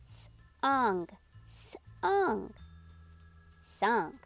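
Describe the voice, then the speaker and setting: Woman reading a kids book, slow and sing-song, very lively pitch, music underneath. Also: gravelly, no room sound. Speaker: storytime reader and host. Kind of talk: children's read-aloud narration.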